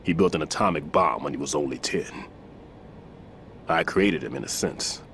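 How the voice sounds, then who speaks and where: A man's voice: a bass voice, normal speed, creaky, a bit bright, slight background noise, exaggerated voice. An actor playing an older male retired bomb disposal expert, rueful and self-deprecating. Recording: game cutscene with music.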